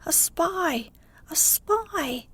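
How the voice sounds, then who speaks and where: An accusing villager from an angry mob , acted by a woman narrator. The storybook voice jumps high as it cries out, yet sounds dry and close. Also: lively intonation, drawn-out words, dry recording, full character voice. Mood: alarmed, accusing. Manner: shouting out accusations. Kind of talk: storybook character voice.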